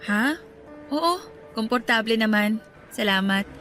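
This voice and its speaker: Casting: a hesitant, soft-spoken widowed-in-spirit woman, faint music under. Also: fairly high pitch, lively intonation, unhurried. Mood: wistful. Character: grieving woman, gentle and wistful. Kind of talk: radio drama acting.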